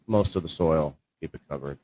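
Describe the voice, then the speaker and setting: A man co-hosting a casual livestream about indoor growing, talking in a low-key, fairly monotone way. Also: brisk, no echo. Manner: low-key, self-described monotone delivery. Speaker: co-host of a live-streamed indoor growing show.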